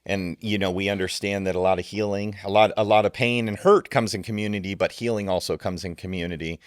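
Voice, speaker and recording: A man with a lowish voice co-hosting a podcast, sincere and supportive. He often repeats a word before he gets going. The sound is dry and close on a studio mic. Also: steady tone, quick pace, smooth, no echo. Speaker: podcast co-host. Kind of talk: casual podcast chat.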